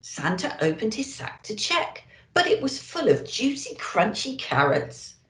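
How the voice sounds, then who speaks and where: A woman reading a children's picture book aloud in an expressive manner, as an elf storyteller. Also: on the high side, a little slow. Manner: expressive, addressing children directly. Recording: roomy, echoey indoor sound.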